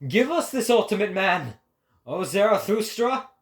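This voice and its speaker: A man puts on a jeering male heckler from a dramatic storybook mob. The voice is pushed high and loud, calling out demands with eager mockery. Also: sing-song, a little slow, reverberant. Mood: mocking, clamoring.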